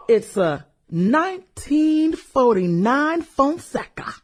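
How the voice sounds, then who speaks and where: A woman acting a brash, fun-loving businesswoman in a satirical radio play. Her pitch is fairly high and swings widely, while her pace is slow and drawn out. She is sassy, slangy and flirtatious. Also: very animated, heavily acted. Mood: delighted, flirty.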